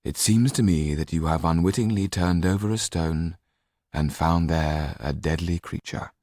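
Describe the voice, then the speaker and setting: A man voicing a courteous, thoughtful gentleman in an audiobook, husky and deep, speaking as a concerned friend in a genteel, confiding manner. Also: moderate pace, no echo, clean studio sound, close to an everyday voice. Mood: thoughtful, grave. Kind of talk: audiobook character acting.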